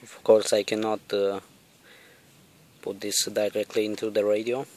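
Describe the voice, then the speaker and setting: A man giving a casual, hands-on demonstration in a halting, deliberate way, recorded outdoors on a handheld camera. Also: lowish voice, little pitch movement, a little mellow. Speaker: vlogger demonstrating electronics. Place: outdoors on a lawn. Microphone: handheld camera or phone, held by the speaker.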